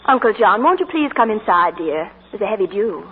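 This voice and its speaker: A woman's voice: medium pitch, sing-song, fairly quick, slight background noise, lightly acted. An actress playing a spirited young woman with a lilting, playful manner in a 1940s radio drama. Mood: worried. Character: spirited young Irish woman, romantic and warm. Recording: tinny 1940s radio broadcast with music.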